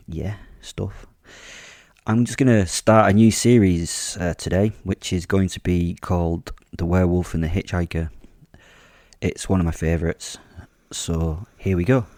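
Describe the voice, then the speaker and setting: A man's voice: sits low, gravelly, dark tone, dry recording, studio quality. A man hosting his podcast and telling a first-person horror story in an offhand, chatty way, swearing casually and joking darkly. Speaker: podcast host and narrator.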